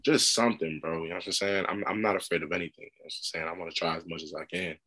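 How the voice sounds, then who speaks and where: Laid-back low-voiced young American man. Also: fairly even intonation, very fast, bright, forceful tone. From United States. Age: 20s. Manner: laid-back, slangy, lots of "you know what I'm saying". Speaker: guest basketball player. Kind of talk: casual podcast chat.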